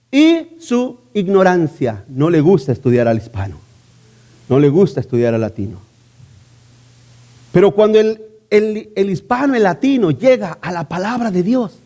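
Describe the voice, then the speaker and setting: A man preaching in a strikingly high voice, pressing his point by repeating phrases. He keeps turning to the congregation with rhetorical questions as a pastor. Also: warm tone. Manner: emphatic, repeats key phrases. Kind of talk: sermon.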